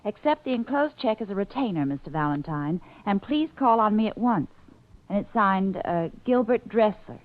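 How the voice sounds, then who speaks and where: A woman's voice: mid-range voice, normal speed, lightly acted. Woman playing sassy detective's girl friday, 40s radio style, very up-and-down voice, tinny old recording. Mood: puzzled. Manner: lively, playful, inquisitive. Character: spirited, teasing female assistant to a private detective. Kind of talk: 1940s radio acting.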